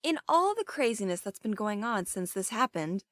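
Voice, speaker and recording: A woman's voice: mid-range voice, big pitch swings, moderate pace, fairly bright tone, no room sound. A woman playing an assertive, suspicious police captain in an audio drama, dry and pointed in manner.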